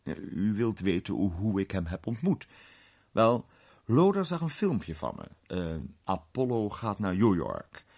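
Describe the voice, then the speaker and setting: A genial film star spinning a reminiscence in a radio play. His deep, husky voice moves with plenty of rise and fall. He talks in a conversational, slightly hesitant way, like a raconteur. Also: wildly swooping pitch, a little slow, a little mellow, exaggerated voice. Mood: musing, reminiscing. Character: male film actor, ex-athlete, recounting a strange tale genially.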